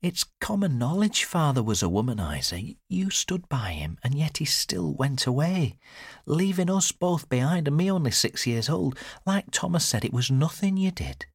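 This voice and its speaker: A male narrator plays a caring grown daughter in an audiobook. The voice sits high and moves freely, earnest and direct with a pleading edge. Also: big pitch swings, moderate pace, gravelly, husky, no echo, studio quality, exaggerated voice. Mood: consoling. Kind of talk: audiobook character dialogue.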